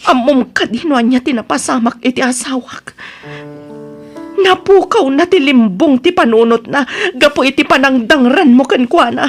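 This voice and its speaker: A woman plays a devoted wife in an audio drama. Her voice is high, and she speaks out with long-suffering earnestness over faint music. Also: moderate pace, natural voice. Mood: anguished, accusing. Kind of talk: Ilocano audio drama acting.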